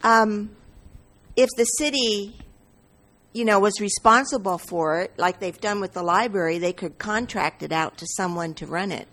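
A woman's voice: medium pitch, moderate pace, a bit bright. Woman, audience member, tentative, off-mic and distant.

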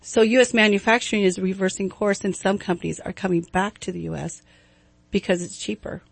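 A soft-spoken woman guest on a morning radio show, explaining the weather and stock market in a plain way with some ums. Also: medium pitch, fairly even intonation, fairly quick, gravelly, breathy edge, dry recording. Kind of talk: radio talk chat. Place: radio studio. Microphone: studio microphone.

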